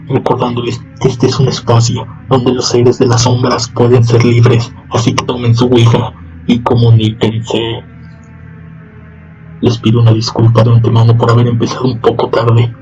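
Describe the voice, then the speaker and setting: Brief: man, flat monotone, solemn horror host, dull lo-fi recording. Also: mid-range voice. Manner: slow, solemn, ceremonious. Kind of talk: scripted radio drama hosting. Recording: dull lo-fi sound.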